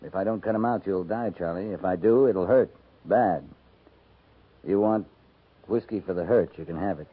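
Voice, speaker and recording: An actor playing a steady, dry-witted cavalry captain in a 1950s radio western, terse and understated in his authority. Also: low voice, normal speed, pauses often, breathy edge. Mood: grave, patient. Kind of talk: 1950s radio drama acting. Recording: noisy, tinny 1950s radio recording.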